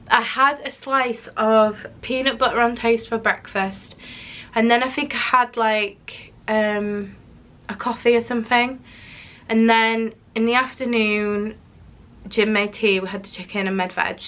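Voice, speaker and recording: Bright-voiced woman, chatty and rambling. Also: mid-range voice. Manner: chatty, rambling, many ums and restarts. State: recovering from covid, slightly nasal. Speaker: weight loss vlogger. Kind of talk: casual weigh-in vlog. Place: home office.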